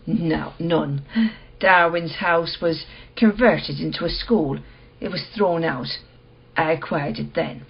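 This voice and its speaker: A woman playing a calm, enigmatic dealer in curios in a radio play, understated and unruffled. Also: sits low, slow pace, creaky, husky, lo-fi sound, exaggerated voice.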